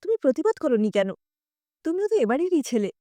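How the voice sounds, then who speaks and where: A woman's voice: high voice, big pitch swings, brisk, mellow, no room sound. A woman voicing an earnest, outspoken young daughter-in-law with an insistent manner in an audio story. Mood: firm, challenging. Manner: insistent, questioning.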